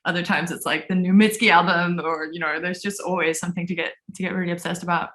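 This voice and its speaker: A woman musician from Denmark answering interview questions in a relaxed, thoughtful way. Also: lowish voice, quick pace. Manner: relaxed, thoughtful, trailing off and restarting.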